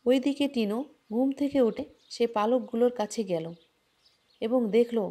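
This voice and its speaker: A woman narrating a homemade cartoon fairy tale in a plain, even storytelling manner. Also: on the high side, normal speed. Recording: music playing behind.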